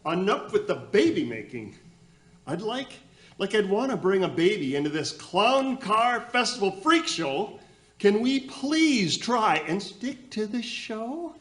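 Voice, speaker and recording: A man's voice: high voice, big pitch swings, measured pace, a bit bright, full character voice. A man playing a long-suffering young nephew who co-hosts a comedy radio show, exasperated and prone to stammering. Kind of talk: community theater radio comedy acting.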